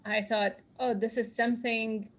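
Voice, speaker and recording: A woman's voice: fairly high pitch, flat delivery, moderate pace. A woman from Croatia introducing herself on a video call, hesitant and full of fillers. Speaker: workshop participant. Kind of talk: casual self-introduction.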